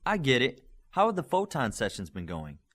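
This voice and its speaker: Man reading as an eager, excitable boy superhero trainee. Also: mid-range voice, moderate pace. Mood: curious, friendly. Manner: earnest, excitable.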